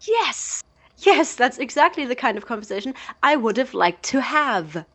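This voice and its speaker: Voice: medium pitch, sing-song, normal speed, sharp tone, exaggerated voice. A performer playing an exasperated, blunt male roommate in an audio drama, incredulous and sardonic in manner. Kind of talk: naturalistic audio drama acting.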